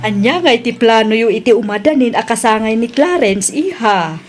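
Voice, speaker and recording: A woman plays a fond mother and grandmother in an Ilocano radio drama. Her voice sits on the high side. She speaks with an Ilocano accent and dotes openly on her family. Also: lively intonation, normal speed, over music, lightly acted. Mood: warm, generous.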